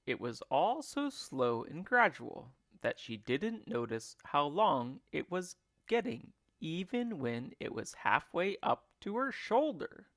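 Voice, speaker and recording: Animated male storybook reader, slow and deliberate. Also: on the high side, dry recording, clean studio sound. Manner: deliberate, emphatic phrasing. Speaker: teacher reading aloud. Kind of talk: expressive read-along storybook narration.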